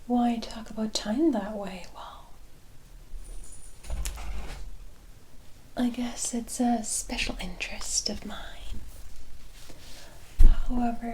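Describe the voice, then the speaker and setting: A woman playing a sly, mysterious witch in a whispered, breathy ASMR fantasy roleplay, teasing and deliberate as she addresses the listener. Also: a little high, darkish tone, lightly acted, poor audio. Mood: coy, secretive. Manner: slow, deliberate, coy, theatrical, addressing the listener as "my dear".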